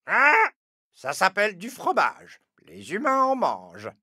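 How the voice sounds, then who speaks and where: A woman voicing a selfish, arrogant crow in an animated fable, haughty and dismissive, with a raspy, sharp voice. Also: mid-range voice, a little slow, a crowd in the background. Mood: haughty, curt. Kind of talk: animated fable character acting.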